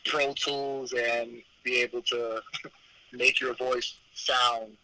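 A man's voice: mid-range voice, flat delivery, takes it slowly, sharp tone, low-quality recording. A man teasing his friend in playful, slangy podcast banter. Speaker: podcast co-host.

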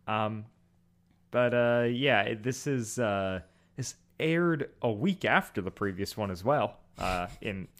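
A man's voice: fairly low pitch, animated, takes it slowly, fairly bright tone. A young man co-hosting a casual podcast, chatty and joking. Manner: chatty, with frequent ums and jokes. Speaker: podcast co-host.

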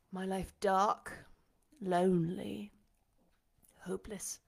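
A woman voicing a desperate, passionate blind gentleman in an audiobook, with a dramatic, demanding delivery. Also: lowish voice, gravelly, voice pushed down, exaggerated voice. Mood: desolate, yearning. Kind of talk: audiobook character acting.

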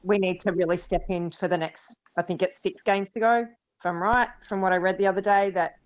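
A woman's voice: medium pitch, fairly even intonation, brisk. A woman co-hosting a podcast, rambling through her thoughts with lots of "you know" and "like". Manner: rambling, many fillers. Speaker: podcast co-host. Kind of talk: casual podcast chat. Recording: dull lo-fi sound.

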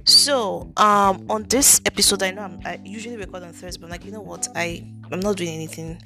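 A woman's voice: on the low side, fairly quick, creaky, sharp tone, faint music behind. A woman with a thick Nigerian accent, hosting her own podcast in a chatty, earnest way, with frequent ums and restarts. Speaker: podcast host, immigration consultant. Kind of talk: casual solo podcast talk.

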